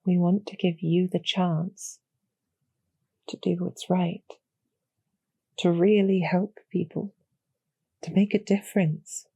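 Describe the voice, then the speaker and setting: A woman playing a calm, courteous female demon general in a roleplay audio, speaking softly with measured, gently formal persuasion and a husky, creaky edge. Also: fairly low pitch, dry recording, full character voice. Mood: calm, persuasive. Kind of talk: slow, soothing scripted roleplay audio for sleep.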